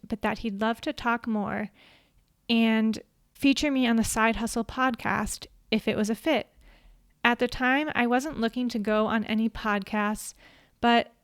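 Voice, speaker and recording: Woman, quiet voice, slightly high, smooth, measured podcast script reading, dry close mic. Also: no echo. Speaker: podcast host. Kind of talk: scripted solo talk. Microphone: studio microphone.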